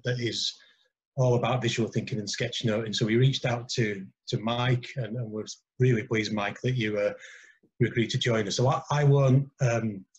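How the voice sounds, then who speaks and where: British male meetup host, mid-pitched and husky, informal, hesitant delivery with repeated words. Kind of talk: informal introduction, personal talk. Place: online video call. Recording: slightly dull online-call audio.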